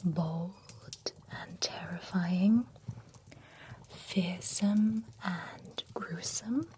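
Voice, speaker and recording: Chatty female vampire spa groomer , whispered by a woman, slow and low, polite salon patter. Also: warm tone, a little hiss or hum. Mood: soothing. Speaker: ASMR roleplay performer. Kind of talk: whispered ASMR roleplay.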